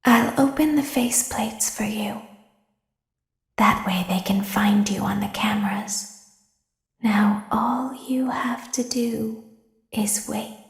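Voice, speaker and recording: A woman voicing a sinister, girlish female animatronic who talks in a soft, menacing whisper. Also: medium pitch, slow pace, reverberant. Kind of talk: fan voice acting of game lines.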